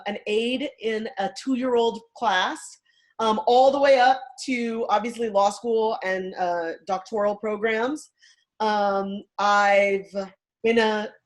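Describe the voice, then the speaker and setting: A woman from California talks on a video call in a lively, quick manner. Her voice is fairly high. She tosses in quick asides as she goes. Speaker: law professor. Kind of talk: casual talk on a video call.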